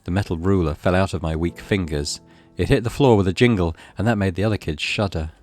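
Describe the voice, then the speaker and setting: A man's voice: low-pitched, fairly quick, a little mellow, faint music behind. A man giving a theatrical, suspenseful reading of a horror visual novel. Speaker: gaming channel host reading aloud. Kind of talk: dramatic scripted narration of a horror visual novel.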